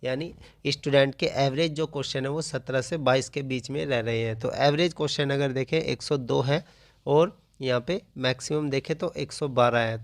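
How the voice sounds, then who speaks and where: A man's voice: mid-range voice, no echo. A man explains like a coaching teacher in an informal analysis, often restating his phrases.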